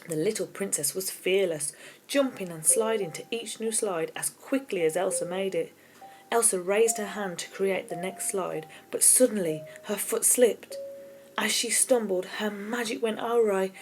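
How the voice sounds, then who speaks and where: A woman's voice: medium pitch, poor audio. A woman narrating a children's novel aloud in a storybook reading style, as an audiobook reader. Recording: keyboard music behind the voice. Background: keyboard music.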